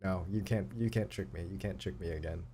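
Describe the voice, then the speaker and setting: A man's voice: low-pitched, brisk, mellow, slight background noise. A man streaming a game, reading a visual novel aloud and reacting casually. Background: music.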